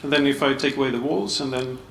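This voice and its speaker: Man talking through slides, gravelly and bright, halting, echoey hall, sits back from the mic. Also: mid-range voice, fairly even intonation. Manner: halting, restarts, many uhs. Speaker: panel speaker, architect. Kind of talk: conference presentation. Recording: echoey hall, mic off at a distance, faint room noise.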